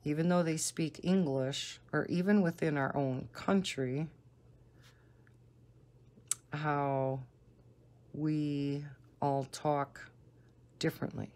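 A woman with a Northern American accent and a low, soft voice, chatting casually and unhurriedly. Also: steady tone. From northern United States. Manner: unhurried, deliberately slow talking. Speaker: coloring video host. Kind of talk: casual rambling chat while coloring.

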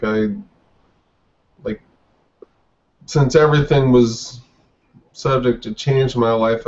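A man's voice: medium pitch, darkish tone. A man in his forties, a guest on a video call interview, talking in a hesitant way with restarts. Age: 40s. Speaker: guest artist. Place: dim room indoors. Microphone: webcam on video call. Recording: dull lo-fi webcam audio.

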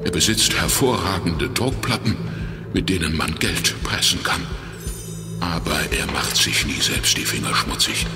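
A wounded former crook turned detective , played by a male actor speaks very low and slowly. He is weary and strained, halting often as he confesses, yet stays determined. It is an audio drama with music and a long echo around the voice. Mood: weary confessing. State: weak and exhausted from injury. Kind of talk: German children's audio drama. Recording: echoey reverberant hall, music underneath.

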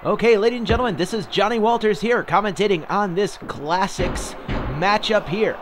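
A man's voice: high voice, normal speed, soft background music, lightly acted. A man voicing a rambling male wrestling commentator who calls the match with lots of fillers. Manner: rambling, hesitant, full of fillers. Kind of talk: play-by-play commentary.

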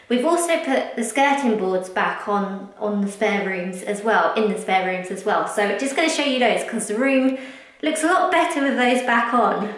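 A British woman in her thirties chatting casually and informally to camera as a home vlogger, rambling and correcting herself as she goes. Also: mid-range voice. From United Kingdom. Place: bare rooms of a house under renovation, indoors. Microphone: handheld camera at arm's length. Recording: echoey empty rooms.

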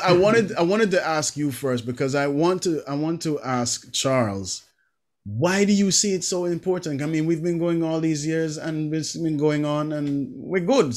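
A man's voice: a little high, a little mellow. A man hosting an interview over a video call, asking eager, prodding questions. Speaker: interview host.

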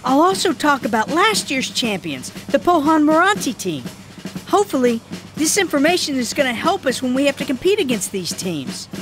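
A woman's voice: high-pitched, big pitch swings, normal speed. A woman voicing an earnest boy footballer in a cartoon, explaining things seriously to his teammates. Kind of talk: animated cartoon dialogue. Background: background music.

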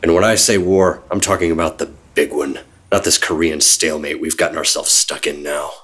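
An actor playing a secretive, world-weary 1950s government agent, terse and deadpan, narrating an old-time radio drama. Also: male voice, very deep voice, fairly quick, exaggerated voice. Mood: weary, reflective. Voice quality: husky. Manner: terse, deadpan, hard-boiled.

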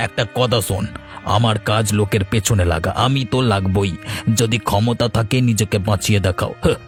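Casting: male actor for spiteful cartoon village bully, low voice, menacing and taunting, light music underneath. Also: close to an everyday voice. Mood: threatening. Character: gloating, spiteful village bully and land-grabber. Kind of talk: Bengali fairy-tale cartoon acting.

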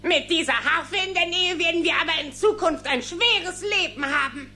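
A woman's voice: very high-pitched, moderate pace, music in the background. A woman voicing a small, grumbling boy duckling in a cartoon radio play.

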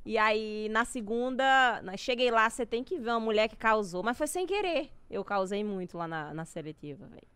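A woman in her twenties with a drawling way of talking, telling stories as a guest in a small podcast studio on a boom mic, lively, slangy and animated. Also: normal speed, hardly any pauses, smooth, dry recording. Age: 20s. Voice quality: drawling speech. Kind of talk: casual storytelling chat. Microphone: studio boom mic with headphones.

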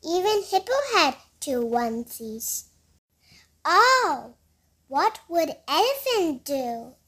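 A woman reading a picture book aloud as a storyteller, with an expressive, deliberate delivery for young children. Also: high voice.

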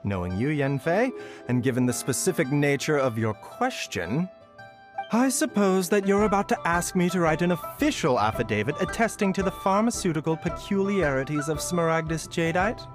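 Suave, lilting game doctor. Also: male voice, on the high side, sing-song, normal speed, over music. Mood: wry, obliging. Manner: unctuous, polite, formal, faintly teasing. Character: suave, courtly male doctor, sly and erudite. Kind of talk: video game voice acting.

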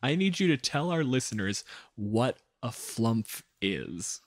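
Sardonic man hosting, mid-pitched, dry sound. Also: big pitch swings, slow pace, no echo. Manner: sardonic, grumbling, exasperated. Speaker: podcast host. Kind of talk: casual podcast chat.

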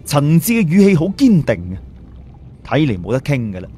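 A man's voice: fairly high pitch, huge pitch swings, brisk, warm tone, husky. A man with a Cantonese accent narrating a scripted audio story as a storyteller.

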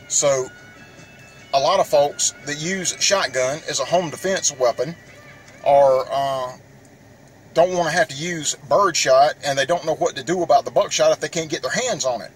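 A man's voice: on the high side, moderate pace, faint music behind, low-quality recording. Folksy middle-aged man. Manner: folksy, addressing viewers directly. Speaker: outdoors and shooting vlogger. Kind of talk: casual how-to vlog talk.

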